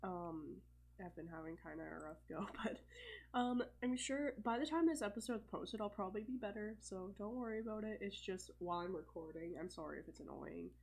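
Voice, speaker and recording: A young woman with a stuffed-up cold, casually commentating her gameplay in a chatty, think-aloud way with fillers and soft laughs. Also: mid-range voice, brisk, gravelly, low-quality recording. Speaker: let's play host.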